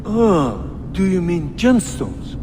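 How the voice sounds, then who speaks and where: An actor playing a humble, fearful digger in a video game, pleading and deferential. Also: male voice, high-pitched, sing-song, slow, exaggerated voice. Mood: pleading, anxious. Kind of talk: video game cinematic acting. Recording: reverberant hall with background noise.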